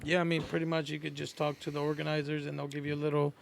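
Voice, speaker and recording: A man's voice: on the high side, monotone, very fast, vocal fry, a little hiss or hum. A man co-hosting a podcast in casual conversation, with laid-back, slangy phrasing. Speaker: podcast co-host. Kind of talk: casual chat.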